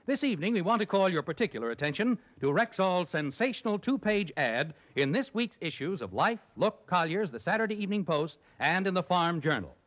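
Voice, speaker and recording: A man's voice: high-pitched, sing-song, brisk, hardly any pauses. An American man reading a sponsor's message as a polished, emphatic mid-century radio announcer. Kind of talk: 1950s radio advertising announcement. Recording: muffled old radio recording, faint music.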